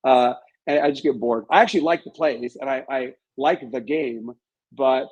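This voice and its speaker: A man's voice: medium pitch, normal speed, darkish tone, husky, lo-fi sound. A middle-aged American man speaking as a guest in a casual interview, in an emphatic, opinionated way.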